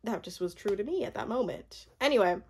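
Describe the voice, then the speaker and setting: A young woman, born in Uganda, telling a personal story in a casual, animated way, laughing often and acting out what others said. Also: mid-range voice, fairly quick, slight background noise. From born in Uganda, raised mostly in the US. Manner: animated, laughs often, acts out quoted speech. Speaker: vlogger.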